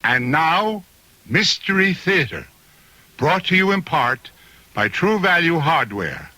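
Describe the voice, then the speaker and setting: An American man reading an old-time radio sponsor announcement in an emphatic, drawn-out way. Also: on the high side, sing-song, pushed and bright, dry recording.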